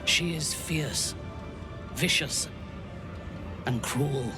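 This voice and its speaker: An actor playing a proud, vengeful vampire in a video game, speaking in a measured, bitter and menacing way. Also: male voice, fairly high pitch, music in the background. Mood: cold resolve. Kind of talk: video game cutscene acting. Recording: game audio with very loud score.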